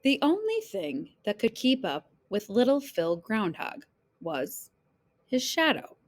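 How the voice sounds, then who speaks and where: A woman reading a children's picture book aloud in an expressive, dramatic storyteller's way, phrasing deliberately for young listeners. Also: a little high. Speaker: read-aloud storyteller, teacher.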